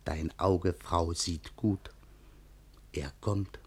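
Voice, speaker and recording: An actor playing a simple, cheeky manservant in a rhymed radio comedy, with a folksy, halting, explanatory manner. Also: male voice, low-pitched, fairly even intonation, husky, full character voice. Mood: wary.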